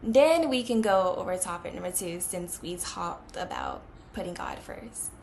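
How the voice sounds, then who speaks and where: A woman's voice: fairly low pitch, animated, a little slow, gravelly, slight background noise. A woman of twenty talking casually to the camera as a vlogger, with many fillers. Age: 20s.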